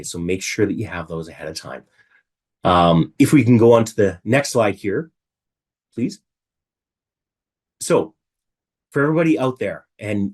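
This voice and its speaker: A middle-aged man with a raspy voice from illness, giving a chatty webinar talk from home and often asking rhetorical questions. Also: lowish voice, darkish tone. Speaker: webinar presenter. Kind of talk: casual presentation.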